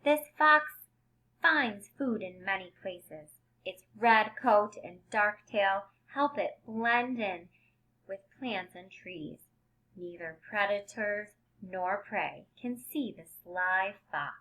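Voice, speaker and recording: A woman's voice: medium pitch, slow pace, rough recording. A woman reading a picture book aloud to young children like a teacher, stressing key words expressively and explaining things simply. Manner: expressive, emphasized headings with simple explanatory asides.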